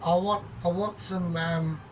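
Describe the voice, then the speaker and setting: A man talking through his cooking in a rambling, grandiose, sweary way, often trailing off quiet. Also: sits high, steady tone, a little slow, slight background noise. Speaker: home cook vlogger. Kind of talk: casual cooking vlog. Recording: distant mic with music behind.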